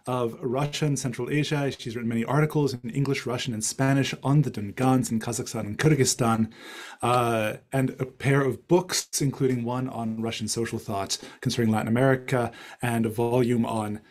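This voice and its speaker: Male event moderator, thirties to forties, average pitch, formal and courteous, on a video call. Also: steady tone, moderate pace, darkish tone. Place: small office. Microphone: headset on video call.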